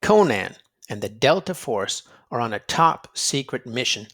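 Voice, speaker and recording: A man's voice: medium pitch, very animated, measured pace, fairly bright tone. A man reading a children's nonfiction storybook aloud, explaining emphatically to young listeners. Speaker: children's read-aloud narrator.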